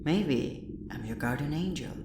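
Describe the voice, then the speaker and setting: Cryptic imaginary guide, theatrical, echoing and distant, noisy ambience, audio comedy. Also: male voice, on the high side, animated, a little slow, creaky. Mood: cryptic, insistent. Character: cryptic, urgent imaginary friend, male guardian-angel hallucination. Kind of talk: sci-fi audio comedy acting.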